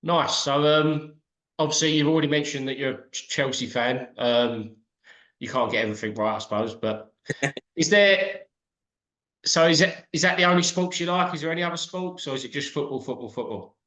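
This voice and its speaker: A man's voice: a little high, moderate pace, a bit bright, reverberant, poor audio. A man from the Thurrock area of England hosting an interview in a friendly, hesitant way with fillers. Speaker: interviewer, host. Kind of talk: casual interview.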